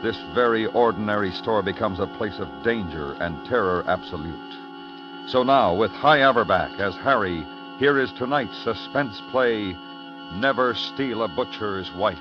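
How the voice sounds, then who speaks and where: Dramatic American radio announcer, muffled vintage sound. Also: male voice, on the low side. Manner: dramatic, measured, formal. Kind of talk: 1950s radio announcing, scripted. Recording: muffled 1950s radio recording. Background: orchestral music.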